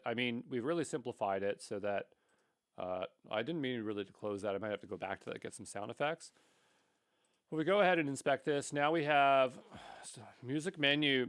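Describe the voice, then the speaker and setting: A man's voice: medium pitch, no echo, clean studio sound. A man giving an unscripted coding tutorial, thinking aloud in a rambling way with mildly exasperated asides. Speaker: tutorial instructor.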